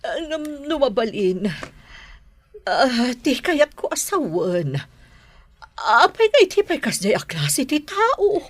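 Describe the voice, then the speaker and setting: A woman's voice: sits high, sing-song, takes it slowly, full character voice. A woman playing a worried, disapproving mother of grown children in an Ilocano radio drama.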